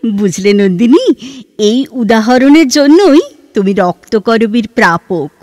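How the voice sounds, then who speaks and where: A woman playing a reflective, scholarly, sorrow-laden heroine in an audio drama, speaking in a measured, lyrical way with expressive pauses. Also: fairly high pitch, no echo. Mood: delighted. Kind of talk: Bengali audio drama dialogue.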